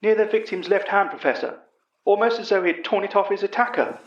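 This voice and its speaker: A man's voice: sits high, fairly quick, reverberant, dull audio, voice pushed up. A man voicing an eager, deferential young British policeman in an audio horror story.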